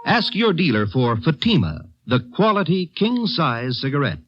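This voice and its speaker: A man's voice: fairly high pitch, big pitch swings, moderate pace, faint music behind. A man with an American accent reading a scripted 1950s radio advertisement as the commercial announcer. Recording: muffled vintage radio recording.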